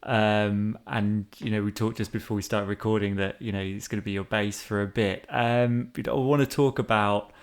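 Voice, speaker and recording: A man hosting a podcast, asking relaxed questions with many "um"s and "you know"s. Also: fairly low pitch, moderate pace, hardly any pauses, clear, resonant tone. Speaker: podcast host. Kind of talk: casual interview questions.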